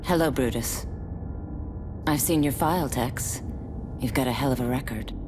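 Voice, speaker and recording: A woman's voice: a bass voice, moderate pace, noisy background. A woman playing a cool, confident military scout with a composed, assertive manner.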